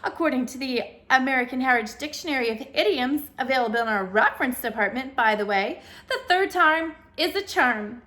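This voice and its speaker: A woman voicing a booming, jolly announcer speaking from ceiling speakers in a storybook reading. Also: animated, a little fast, few pauses. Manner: loud, hearty.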